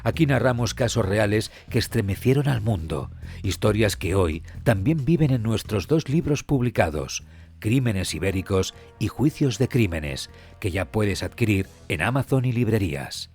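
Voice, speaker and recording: A man delivering scripted true crime narration in a solemn, measured, suspenseful manner. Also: fairly low pitch, animated, a little mellow. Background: music.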